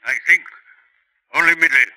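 An actor playing a hesitant, bungling hired assassin in a 1950s radio drama. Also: male voice, on the high side, moderate pace, pushed and bright, low-quality recording. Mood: evasive.

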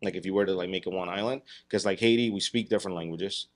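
Man, 30s, very low voice, measured explainer, podcast studio. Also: fairly even intonation. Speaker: podcast co-host. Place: dark studio room.

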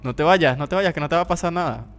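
Higher-voiced, excitable young man gaming. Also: fast talker, faint music behind. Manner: excitable, playful, teasing. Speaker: gaming video host, player. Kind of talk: casual gameplay chat with friends.

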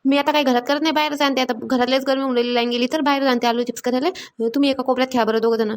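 A woman's voice: sits high, steady tone, clear, resonant tone, natural voice. A woman voicing a busy, scolding village mother in a cartoon, nagging. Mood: bossy, busy. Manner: nagging, rapid repeated orders. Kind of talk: Marathi comedy cartoon dialogue.

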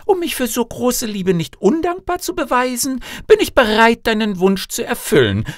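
A man's voice: extremely high pitch, very animated, normal speed, dry recording, clean studio sound, pitched above the performer's own voice. A man voicing a haughty, picky young princess in a fairy tale, with an affected, disdainful manner. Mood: reluctant, formal.

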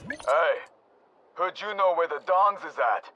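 A man acting a gruff, scornful, streetwise mob thug in a video game. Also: a little high, animated, unhurried, pushed and bright, soft background music. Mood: irritated.

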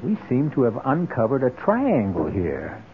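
A man hosting a radio mystery play, narrating in a wry, deliberate, theatrical way. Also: medium pitch, wildly swooping pitch, noisy background. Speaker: host of radio mystery anthology. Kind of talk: dramatic scripted radio narration. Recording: tinny old radio broadcast. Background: music.

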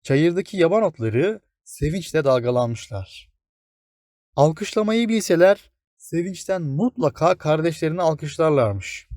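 A man's voice: fairly high pitch, lively intonation, a little slow, darkish tone, no room sound, studio quality. A man reading a scripted children's fairy tale as a storyteller.

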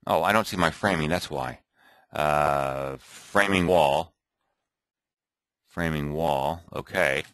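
A man thinking aloud and muttering to himself as he clicks through an off-the-cuff software tutorial at his computer. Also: rumbling low, slow pace, pauses often, no room sound, studio quality. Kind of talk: off-the-cuff screen recording tutorial.

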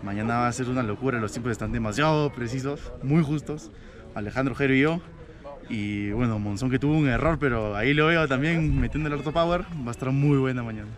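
A man, a downhill racer, talking excitedly about the course after a run. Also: medium pitch, fast, crowd noise. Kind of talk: casual post-race talk.

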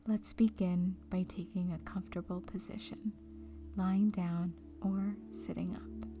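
A woman speaks very softly and breathily, often close to a whisper, as she guides a meditation. Her pace is slow and calm, with long gentle pauses, and clear music plays behind her. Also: on the low side. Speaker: meditation guide, breakup coach.

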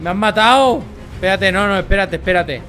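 An excitable man with a Spain accent chatting casually as a gaming partner, exclaiming and repeating his phrases. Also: sits high, a little fast, soft background music. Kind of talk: casual chat while gaming.

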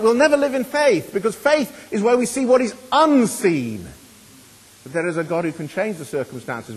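A middle-aged man preaching a sermon in a church, earnest and emphatic, swinging between loud and hushed. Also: high voice, breathy edge. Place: church hall. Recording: long hall reverb.

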